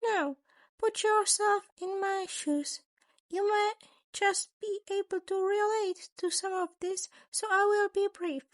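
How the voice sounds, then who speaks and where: A woman's voice: very high-pitched, fairly even intonation, slow pace, no echo, clean studio sound, pitched above the performer's own voice. A woman voicing a small male chimera school principal, politely menacing, wordy and theatrical, in an audio story. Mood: smooth, menacing.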